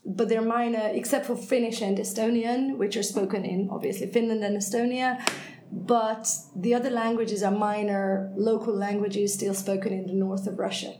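A woman's voice: medium pitch, mellow. Woman talking through hesitations. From Hungary. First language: Hungarian. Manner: thoughtful, self-correcting, frequent hesitations. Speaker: head of English department, guest. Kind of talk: unscripted conversation. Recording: echoey room, faint noise.

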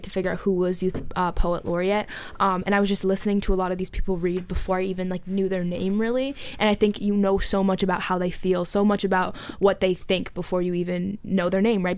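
Earnest teenage girl, low smooth voice. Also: a little mellow, dry recording. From United States. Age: teens. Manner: earnest, reflective, often adds "right?". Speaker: youth poet laureate guest. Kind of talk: casual interview talk.

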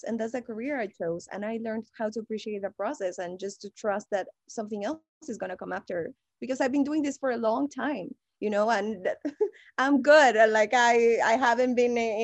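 A woman from Mexico with a Mexican Spanish accent, a guest on a podcast, talking casually in a perky, animated way. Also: on the high side, no echo. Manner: perky, animated, laughs often. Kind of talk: casual conversation.

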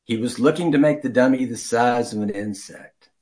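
A man reading a children's story aloud as an amateur storyteller, deliberately, with some stumbles and throat-clearing. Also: medium pitch, darkish tone.

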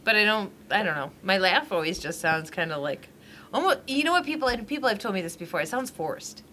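A woman's voice: mid-range voice, expressive, brisk, sharp tone, slight background noise. A middle-aged woman, co-hosting a podcast at her dining table, rambling and laughing often, a little tipsy. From Michigan, USA. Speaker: podcast co-host. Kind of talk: casual chat. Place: at a large dining table at home.

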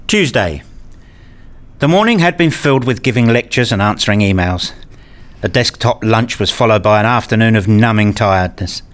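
A man's voice: lowish voice, lively intonation, normal speed, faint music behind, natural voice. A man playing a wry, bookish academic who narrates and talks in a radio play, with dry, wordy scripted delivery. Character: wry male academic narrating his own puzzled days. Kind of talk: scripted first-person narration and dialogue.

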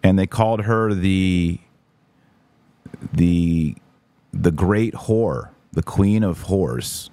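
A man's voice: sits low, drawn-out words, long pauses, dark tone, dry recording. A man hosting a podcast, giving casual, emphatic and opinionated livestream commentary with informal slang. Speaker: podcast host.

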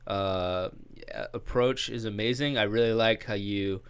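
A man's voice: on the low side, a little slow, smooth. A man joking crudely in casual comedy banter, swearing and stumbling over his words.

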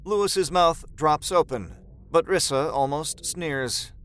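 A man's voice: fairly high pitch, slow, a bit bright, a little hiss or hum. A man narrating a scripted horror story in a dramatic storytelling style.

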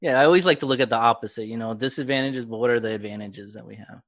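A man from South Florida hosting a podcast, asking questions in a relaxed way and laughing easily. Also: mid-range voice, very fast, no room sound, clean studio sound. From South Florida, United States. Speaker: podcast host. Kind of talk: casual interview questions.

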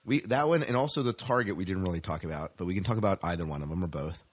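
A man co-hosting a casual podcast chat about science fiction, halting and repeating his phrases as he steers the talk. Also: low voice, expressive. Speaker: podcast co-host.

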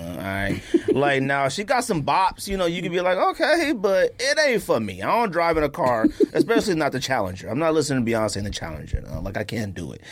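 A man with a very lively, swinging pitch talks as a podcast co-host. His delivery is casual and slangy, and he states his opinions plainly in loose, conversational chat.

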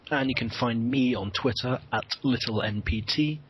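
A man's voice: medium pitch, normal speed, fairly bright tone, husky. A man joking crudely as a guest in a casual podcast chat. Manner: crude, jokey banter.

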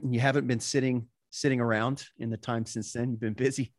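A man's voice: mid-range voice, fairly even intonation, brisk, no room sound, clean studio sound. A man hosting a real estate podcast, talking casually with frequent fillers and restarts. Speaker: podcast host. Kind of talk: casual interview chat.

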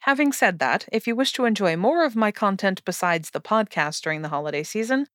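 A woman's voice: mid-range voice, animated, a little fast, fairly bright tone, no room sound, studio quality. A woman reading a prepared introduction as the host of a podcast.

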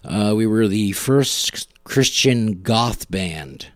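A middle-aged man casually hosting a music show, talking in a wry, self-deprecating, halting way. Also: on the low side, animated, no room sound, clean studio sound. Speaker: podcast host and musician.

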